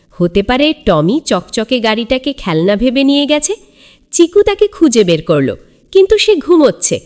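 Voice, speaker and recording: Casting: female storyteller for children's tales, fairly high voice, very animated, dramatic and suspenseful scripted delivery.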